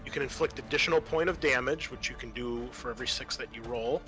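Male game master, bright forceful voice, patient casual rules talk, music underneath. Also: medium pitch, quick pace.

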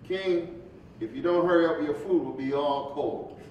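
A man plays a jovial, impatient preacher friend in a stage play, chiding in a folksy way. The voice is fairly high. The sound is dull and very echoey. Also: normal speed, a little mellow, close to an everyday voice. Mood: impatient, friendly. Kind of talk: staged play reading, conversational dialogue. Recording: dull, echoey, distant hall with rumble.

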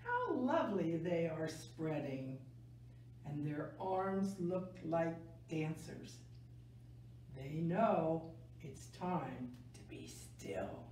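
Older woman, children's story reader, mellow and slightly low voice, gentle deliberate delivery, distant mic. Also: sing-song. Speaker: library story time reader. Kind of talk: picture book read-aloud for children. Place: small indoor room, seated. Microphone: camera a few metres away. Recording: echoey room, distant mic, faint noise.

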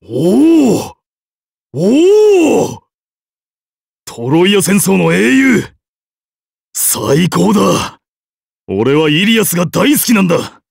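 A man's voice: sits high, wildly swooping pitch, takes it slowly, creaky, long pauses, slightly rough, dry recording, studio quality, full character voice. A man voicing a bold, hearty emperor in a game, with a brash, theatrical and casual way of talking. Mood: excited awe. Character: bold, grandiose, hearty emperor and war leader. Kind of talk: game character voice lines.

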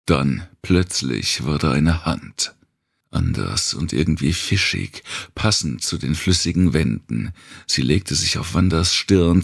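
Man, dark thriller narration, heavy vocal fry, lively pitch movement, audiobook reading.

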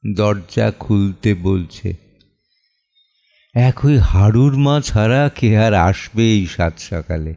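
A man playing a weary, wounded middle-aged father in a dramatic audio play monologue, with deliberate, theatrical delivery and heavy pauses. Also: fairly low pitch, animated, creaky, warm tone, dull audio, heavily acted. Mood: weary, resigned. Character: weary, wounded father of an assaulted daughter, brooding.

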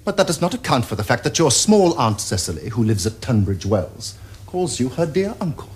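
An actor playing a flippant, teasing Victorian gentleman dandy, with an arch, theatrical upper-class English delivery. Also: medium pitch, sing-song, fairly quick, husky. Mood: mocking, playful. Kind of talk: period stage comedy acting for television.

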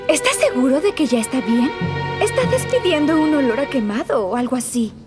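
Very high, anxious cartoon woman. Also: expressive, a little fast. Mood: worried. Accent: Latin American Spanish. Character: fussy, anxious adult woman, bossy under pressure. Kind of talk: children's cartoon dub. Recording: loud music behind.

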